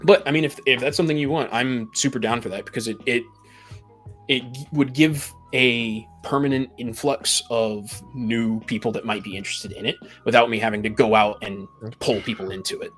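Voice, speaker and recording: A man's voice: medium pitch, steady tone, normal speed, a bit bright, soft background music. A talkative man in a casual group chat, stammering and repeating the starts of his phrases. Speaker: tabletop game master, podcast guest.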